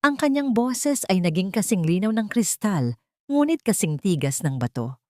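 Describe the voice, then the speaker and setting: A woman narrating a scripted drama story in a measured, emphatic, theatrical way. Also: mid-range voice, sing-song, warm tone, no room sound, studio quality.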